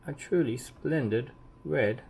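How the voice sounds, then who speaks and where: A man reading a children's story aloud expressively, with deliberate emphasis and drawn-out key words. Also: mid-range voice, a little mellow. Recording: clearly audible background noise.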